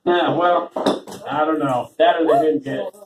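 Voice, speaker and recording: Self-mocking comedian in a roomy club. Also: male voice, fairly high pitch, steady tone, moderate pace, over a noisy crowd. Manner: rambling, self-deprecating, laughs often. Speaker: open mic host and comedian. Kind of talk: stand-up comedy set. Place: small open mic venue with a talking audience. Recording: roomy audience recording from far off.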